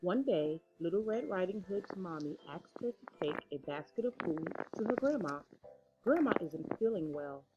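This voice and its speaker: Female children's storyteller: lowish, expressive, measured narration; soft music, slightly dull audio.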